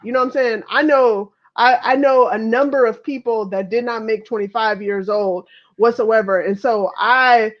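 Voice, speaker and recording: A woman in her thirties from Chicago with an American accent speaks frankly and casually, swearing freely. Her voice is on the high side, and the recording is somewhat dull and lo-fi. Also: fairly even intonation, normal speed. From Chicago, United States. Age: 30s. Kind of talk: casual chat, personal reflection. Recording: dull lo-fi audio.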